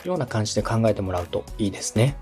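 A man's voice: lowish voice, sing-song, very fast, a little mellow, faint music behind. A man hosting and advising in a polite, encouraging way, explaining things step by step in a conversational coaching style. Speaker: podcast host and production adviser.

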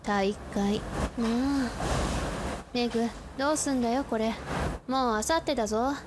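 An actress playing a stern, tomboyish high-school girl in a radio drama, rough-spoken and direct. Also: unhurried, background noise, close to an everyday voice. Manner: rough, masculine phrasing, direct. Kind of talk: Japanese anime-style radio drama acting.

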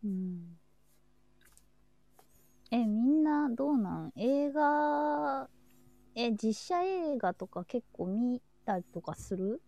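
A woman's voice: a little high, lively intonation, slow, gravelly, pauses often, dark tone, no echo, muffled recording. A young woman chatting casually and informally as co-host of a group livestream. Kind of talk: casual chat.

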